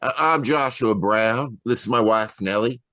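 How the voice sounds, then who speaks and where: An actor playing the kindly, reassuring ghost of a dead husband in an old-style radio mystery. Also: male voice, mid-range voice, unhurried, bright, forceful tone, no room sound. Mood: gentle, reassuring. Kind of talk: 1940s radio mystery acting. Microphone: video call.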